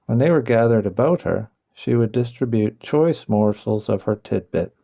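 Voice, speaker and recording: Gravelly man reading a children's storybook. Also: lowish voice, lively intonation, moderate pace, dark tone. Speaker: audiobook narrator. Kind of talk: scripted children's storybook narration.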